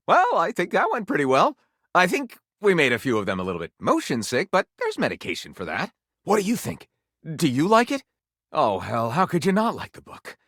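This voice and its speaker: A man's voice: mid-range voice, fairly bright tone, husky, no echo, clean studio sound, full character voice. A man voicing a theatrical, bookish living-library character in a video game, effusive and florid in diction. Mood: excited, fond. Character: flamboyant, theatrical bookish living library, eager and easily wounded.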